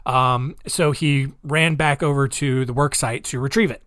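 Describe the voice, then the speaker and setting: A man hosting a casual storytelling podcast, chatty and animated, talking straight to his listeners as guys with lots of asides, moving between loud emphasis and quiet remarks. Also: mid-range voice, unhurried, no room sound, studio quality. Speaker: podcast host.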